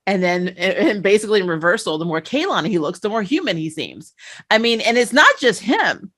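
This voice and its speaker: A woman hosting a casual fan review, chatting in an animated, storytelling way. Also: mid-range voice, a little fast. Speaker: talk show host and interviewer.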